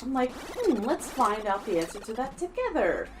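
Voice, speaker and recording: A woman's voice: on the high side, big pitch swings, moderate pace, background noise. A woman chatting casually and teasingly while watching a retro game being played. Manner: playful, teasing, giggly. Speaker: co-host watching a playthrough. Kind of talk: casual gaming chat.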